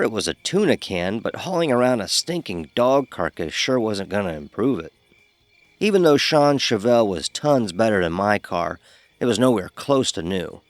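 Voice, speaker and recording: A man's voice: lowish voice, no echo. A man playing a sarcastic young fraternity pledge who narrates his own story in an audio horror drama, wry and sardonic. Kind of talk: scripted first-person horror narration with dialogue.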